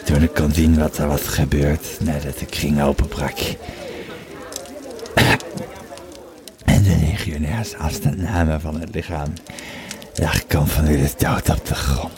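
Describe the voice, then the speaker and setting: A man playing an old Roman legionary veteran in an audio play, telling a grim memory in a halting, dramatic way. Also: extremely low pitch, little pitch movement, crowd noise. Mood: grim, haunted. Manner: slow, halting, dramatic storyteller. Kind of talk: in-character storytelling in an audio play.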